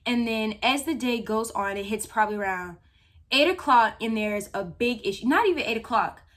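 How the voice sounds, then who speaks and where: A woman of nineteen telling a story about a job to viewers in a chatty, animated way. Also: a little high, normal speed. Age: young adult. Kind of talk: casual storytime.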